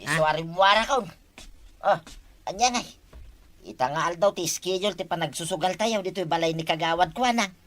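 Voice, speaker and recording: A woman's voice: lowish voice, fairly quick, lightly acted. A woman with an Ilocano accent playing a scheming village gambler in a comedy drama.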